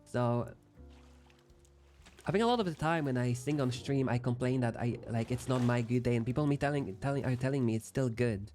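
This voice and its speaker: A man's voice: medium pitch, dark tone. Playful rambling young male streamer. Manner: rambling, playful, self-deprecating. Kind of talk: casual chat while gaming.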